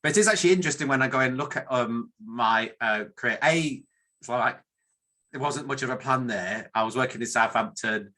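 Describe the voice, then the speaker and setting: A man's voice: mid-range voice, a little fast, sharp tone. A middle-aged man with a northern English accent gives an informal career talk in a meandering, self-deprecating way, often restarting his sentences. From northern England. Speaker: academic statistician giving a career talk. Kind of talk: informal presentation.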